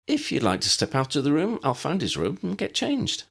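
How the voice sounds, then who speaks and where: Guy doing a young woman for an audiobook, bold n teasing, pitched up, lively swoops. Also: moderate pace, gravelly, slightly rough, exaggerated voice. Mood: brisk.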